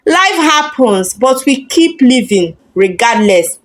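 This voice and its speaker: A woman's voice: on the high side, animated, takes it slowly. A woman voicing a self-assured career woman in a story, composed, dignified and cutting. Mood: composed, defiant. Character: self-assured career woman calmly rejecting her boyfriend.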